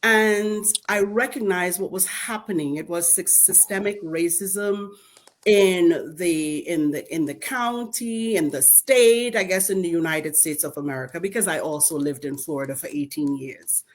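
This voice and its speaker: A woman's voice: fairly low pitch, a little slow. A middle-aged woman from Trinidad and Tobago with a Trinidadian accent, speaking as a guest in a community panel discussion and telling her story emphatically. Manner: lively storytelling, emphatic and animated. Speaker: school board member and panel guest.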